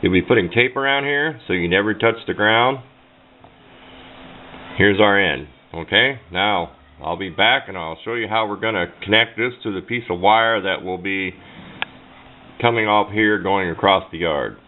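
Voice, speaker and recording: A man with a fairly low voice talks through a hands-on demonstration in a folksy, plain-spoken way. He sounds like a casual hobbyist at a workbench. The audio is narrow and phone-like, with faint background noise. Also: normal speed. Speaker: DIY radio hobbyist demonstrating. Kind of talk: casual hands-on tutorial narration.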